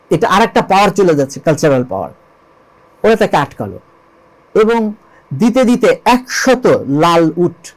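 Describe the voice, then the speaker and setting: A man's voice: on the high side, a little mellow, rough recording. A Bangladeshi man speaking as an interview guest, telling religious history in an explanatory storytelling way, emphatically repeating key phrases and posing rhetorical questions. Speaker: interview guest, lyricist and composer.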